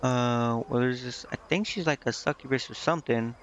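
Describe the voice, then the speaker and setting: A man's voice: medium pitch, moderate pace. A man giving casual game stream commentary in a hesitant, vague, meandering way. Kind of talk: casual rambling game stream commentary, reading dialogue aloud. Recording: dull lo-fi mic with clear background noise.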